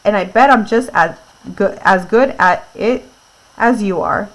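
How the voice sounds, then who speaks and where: A woman's voice: medium pitch, unhurried, close to an everyday voice, low-quality recording. A young country boy from a storybook, acted out by a woman reader. He is proud and a little defensive, and he gives short, plain answers that insist he is as good as anyone. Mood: proud, insistent. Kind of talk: storybook read-aloud dialogue.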